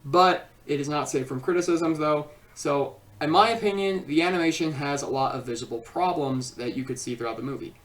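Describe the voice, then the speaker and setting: A film reviewer giving an informal critique in a halting way, often repeating and rephrasing, recorded at a distance from the microphone. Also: mid-range voice. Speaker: video reviewer and host. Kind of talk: informal scripted film review with ad-libbed asides.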